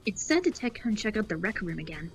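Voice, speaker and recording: A woman's voice: medium pitch, very animated, fast talker, faint music behind. A woman in a group game playthrough, chatting casually and reading character lines aloud. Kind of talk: casual chat and dialogue read-aloud.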